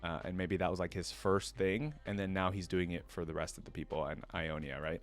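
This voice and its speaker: Low-voiced man reading and reacting. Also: steady tone, a little fast. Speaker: reaction video host. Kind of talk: reading a fantasy story aloud with casual reaction asides.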